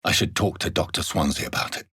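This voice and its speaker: A man playing a grave, courteous vampire physician in a video game, with a British accent and a formal, measured, theatrical delivery. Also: sharp tone, no echo, clean studio sound, lightly acted. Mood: musing to himself. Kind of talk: dramatic video game voice acting.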